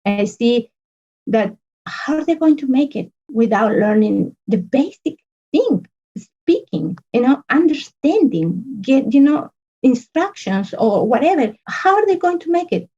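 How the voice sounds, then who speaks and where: A woman, an earnest podcast guest talking in halting phrases full of restarts and fillers. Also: high-pitched, big pitch swings, mellow, husky, lo-fi sound. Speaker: podcast guest, business consultant. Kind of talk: casual interview conversation.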